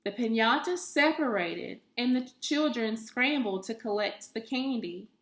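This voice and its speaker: A woman's voice: a little high, lively intonation, unhurried. A woman reading a children's story aloud expressively, like a teacher reading to a class. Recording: echoey, roomy sound.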